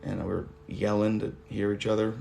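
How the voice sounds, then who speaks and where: A hoarse man in his thirties hosting a casual livestream, talking loosely and swearing now and then. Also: fairly low pitch, flat delivery, fairly quick, a little mellow, a little hiss or hum. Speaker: livestream host. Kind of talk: rambling casual livestream chat. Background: guitar music.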